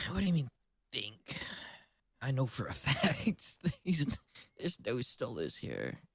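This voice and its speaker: Non-native english co-host, slow and blunt, jokes around, swears a lot, trips over words, dry close sound. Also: medium pitch, huge pitch swings, breathy edge, no echo. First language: not English. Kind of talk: casual banter.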